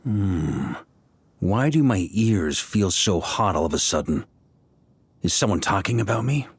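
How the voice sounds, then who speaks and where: A man's voice: low-pitched, big pitch swings, a little slow, gravelly, slight background noise, heavily acted. A man voicing a confident, easygoing, seasoned knight commander in a video game, casual and unbothered. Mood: puzzled, wry. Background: game music.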